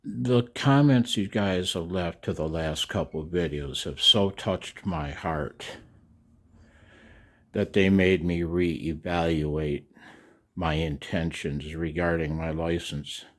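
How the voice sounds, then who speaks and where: An older man talking casually and in a rambling way to his viewers as a video host, folksy and halting, with a gravelly voice. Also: low-pitched. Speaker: amateur radio operator and video host. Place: outdoors in the cold.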